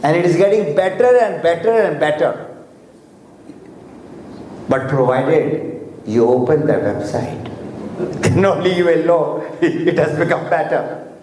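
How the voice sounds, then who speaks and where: A man's voice: on the high side, animated, a little mellow, a little hiss or hum. A man whose first language is Urdu or Hindi, giving a motivational seminar talk in English with many fillers and restarts, asking his audience questions directly. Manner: slow, deliberate, with frequent fillers and restarts. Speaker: motivational speaker. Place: large hotel seminar hall. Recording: echoey hall with faint ambience.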